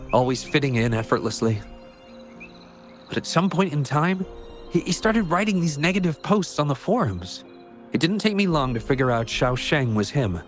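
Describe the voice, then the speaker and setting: A man's voice: medium pitch, natural voice. A man voicing an earnest, caring young male researcher in a video game. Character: earnest young male researcher worried for an estranged childhood friend.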